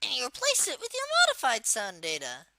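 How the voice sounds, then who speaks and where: A woman voicing a young male cartoon turtle villain while giving an informal, hesitant, unscripted software tutorial. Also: fairly high pitch, huge pitch swings, fairly quick, background noise. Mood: explaining, casual. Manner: hesitant, informal, rambling delivery. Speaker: tutorial presenter.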